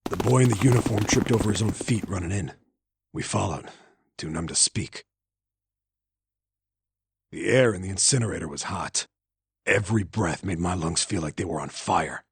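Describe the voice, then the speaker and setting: A man's voice: low voice, moderate pace, gravelly, long pauses, slightly rough, dry recording, clean studio sound, full character voice. An actor playing a haunted man who painfully narrates a traumatic memory in a video game. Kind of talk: dramatic first-person game narration.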